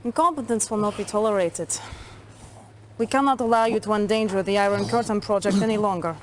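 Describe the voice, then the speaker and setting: An actress playing a cool, ruthless Soviet intelligence chief in a video game cutscene, composed and controlled. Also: female voice, medium pitch, brisk, a little hiss or hum, close to an everyday voice. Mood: cold, threatening.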